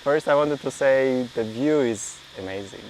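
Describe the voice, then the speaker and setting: A male interviewer chats casually in at a medium pitch. Clear ambient noise can be heard around his voice. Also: normal speed, poor audio. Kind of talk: casual chat.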